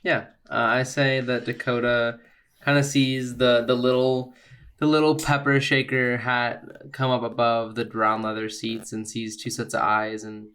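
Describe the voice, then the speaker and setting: Man with medium pitch narrating roleplay. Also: little pitch movement, a bit bright, clean tone. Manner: halting, conversational. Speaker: tabletop game player.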